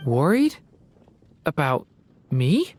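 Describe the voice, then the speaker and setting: A woman voicing a gentle, earnest and polite young boy in a fantasy video game. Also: sits low, very animated, very slow, soft background music, full character voice. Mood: apologetic, unsure.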